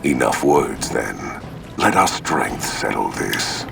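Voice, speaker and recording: Menacing warlord legate for a video game, commanding, heavily gravelled, slow delivery. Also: male voice, very deep voice, very animated, exaggerated voice. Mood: grim, challenging. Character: menacing warlord legate, huge battle-hungry final boss. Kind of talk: video game villain acting. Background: game music.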